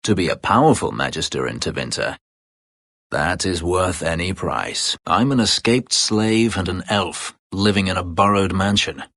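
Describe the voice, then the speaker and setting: Bitter brooding elf fighter for a video game, deep husky voice, dry sarcasm, super close dry sound. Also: male voice, fairly bright tone, no room sound, exaggerated voice. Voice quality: gravelly, husky low voice. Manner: terse, guarded, dry and sardonic. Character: brooding, bitter escaped-slave elf warrior, adult male. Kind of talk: dramatic fantasy video game character dialogue.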